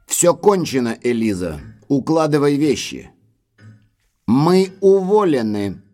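An actor playing a grave, deferential butler with wounded dignity in an audio drama. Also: male voice, mid-range voice, sing-song, takes it slowly, warm tone, soft background music. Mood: despairing. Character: dismissed butler, husband, wounded, stiff and respectful. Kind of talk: Russian audio drama acting.

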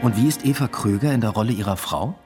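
An actor playing a probing, stubborn police detective in a radio play, with a husky voice and a direct, matter-of-fact manner. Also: male voice, on the low side, fast talker, over music, lightly acted. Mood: probing, cool.

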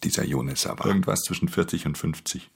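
A man's voice: quick pace, full character voice. An actor playing a weary, guarded pickpocket who tells his own story in a German radio drama, restrained and sombre. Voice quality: very deep, gravelly. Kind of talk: German radio drama, first-person narration and dialogue.

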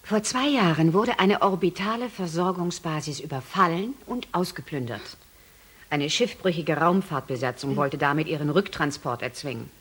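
A woman's voice: sits low, animated, moderate pace, breathy edge. An actress playing a wary, matter-of-fact woman astronaut in a German science fiction radio play. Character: sober, wary woman astronaut on an industrial space shuttle.

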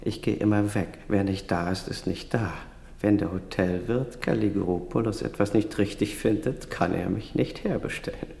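A self-important, suspicious lodger in a radio drama. His low, gravelly voice insists and grumbles, ringing through a reverberant hall. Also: steady tone, normal speed, a little mellow. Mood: insistent, grumbling. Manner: insistent, grumbling, emphatic short sentences. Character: gaunt, suspicious, self-important lottery-dreaming male hotel lodger. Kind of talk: German radio play acting. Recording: echoey hall with long reverb.

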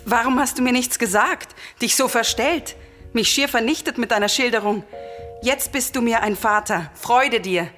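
A woman's voice: a little high, normal speed, fairly bright tone, faint music behind, close to an everyday voice. An actress playing a fervent, vengeful daughter of a murdered king in a classical radio tragedy, solemn and declamatory. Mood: fervent joy.

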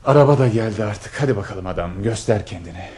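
An actor playing a nervous, hopeful, lovestruck army lieutenant in a theatrical radio drama style. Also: male voice, fairly low pitch, heavy background noise, natural voice. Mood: anxious, hopeful. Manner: theatrical, murmuring to himself, brisk when giving orders.

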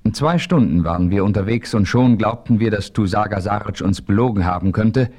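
A steady frontier hero. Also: male voice, on the low side. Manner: measured storytelling. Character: steady frontier hero telling his story, man. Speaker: narrator. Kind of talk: 1970s radio play narration.

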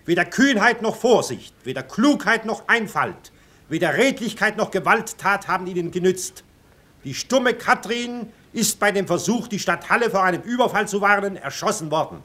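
Male scene narrator for radio drama: high register, sharp bright tone, scripted delivery. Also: animated, normal speed.

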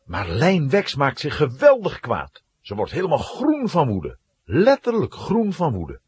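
A man's voice: fairly high pitch, huge pitch swings, a little slow, darkish tone, breathy edge. A man narrating a scripted children's audio play in a dramatic storytelling manner.

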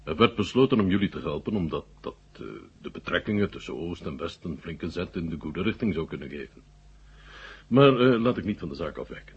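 A very deep, husky Soviet marshal. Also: male voice, animated, full character voice. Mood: sly, deliberate. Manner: hesitant, deliberate phrasing. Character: genial, sly Soviet marshal, courteous and self-assured. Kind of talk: Dutch radio drama acting. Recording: muffled old radio recording.

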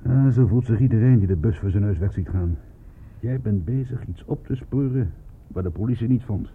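A man's voice: on the low side, moderate pace, slight background noise, close to an everyday voice. A man playing a dry, worldly-wise police inspector in a radio play, probing and sardonic, with terse questions. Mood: probing, insistent. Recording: phone-like thin radio play recording with music.

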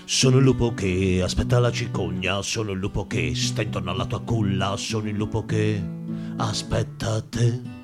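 A man's voice: on the low side, fairly even intonation, normal speed. A man delivering repetitive, incantatory verse as a song with guitar. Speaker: guitarist and singer. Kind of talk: sung or chanted verse with guitar. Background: prominent acoustic guitar.

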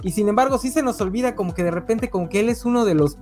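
A man's voice: high-pitched, rapid-fire, a little mellow, soft background music. A man with a Mexican Spanish accent hosting a casual live-streamed comic-book chat, rambling and correcting himself as he goes. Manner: rambling, restarts often, self-correcting. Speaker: podcast host.

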